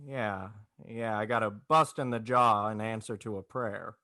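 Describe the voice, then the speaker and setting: A man's voice: fairly low pitch, measured pace, pushed and bright, no echo, clean studio sound. A man playing a despairing, wry, bewildered family man with a stammering, folksy manner, in 1940s radio drama style. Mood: sardonic, weary. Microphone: video call.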